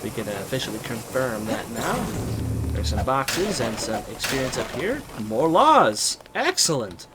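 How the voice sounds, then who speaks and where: Congested American man, mid pitch, casual rambling game commentary, noisy home recording. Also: sing-song, unhurried. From United States. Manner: weary, rambling, many ums. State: stuffy, congested. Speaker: let's play host.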